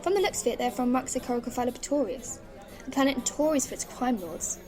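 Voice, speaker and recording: A woman's voice: sits high, expressive, fast talker, a crowd in the background. A woman playing a curious, earnest young granddaughter, polite but outspoken, in a fan audio drama. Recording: music underneath.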